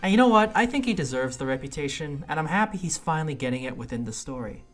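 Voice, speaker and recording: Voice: mid-range voice, animated, fast. A casual, conversational, opinionated video game reviewer giving improvised commentary on a quest. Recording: voice over background music. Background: music.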